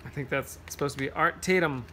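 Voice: mid-range voice, brisk, bright, forceful tone, slight background noise. A person reading a picture book aloud to children, playful, with singsong rhymes, scat sounds and casual explanations.

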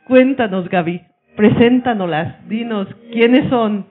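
A woman's voice: a little high, slow pace, soft background music. Woman, talk show host, effusive, handheld mic, tinny phone-like sound. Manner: enthusiastic, effusive praise. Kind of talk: casual interview chat. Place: bright studio room. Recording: phone-like lo-fi web stream.

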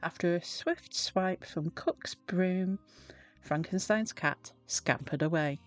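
A woman narrating a children's storybook in a deliberate, expressive way. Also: fairly low pitch, faint music behind. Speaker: storybook reader.

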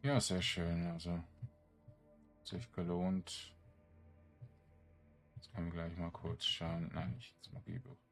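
A man's voice: low voice, takes it slowly, pauses often, soft background music. A man giving casual let's play commentary, muttering to himself in a hesitant way and trailing off. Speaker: gaming streamer narrating his own play.

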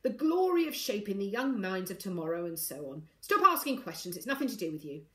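A woman storyteller acts out a middle-aged male politician, a father with little patience. He is pompous and curt, his pitch moving freely as he orders people about in a storybook. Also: very animated, moderate pace, fairly bright tone, roomy sound. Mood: impatient. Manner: curt, irritable, self-important.

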